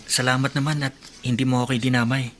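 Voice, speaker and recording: An actor playing a supportive young college friend in a radio drama. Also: male voice, medium pitch, little pitch movement, fast, a bit bright, slight background noise, lightly acted. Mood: concerned. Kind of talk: Tagalog radio drama acting.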